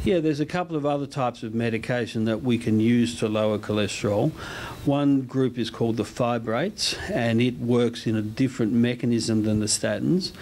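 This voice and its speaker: A man interviewed as a medical researcher, giving explanatory answers in a measured, slightly hesitant way. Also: medium pitch, darkish tone. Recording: slight background location noise.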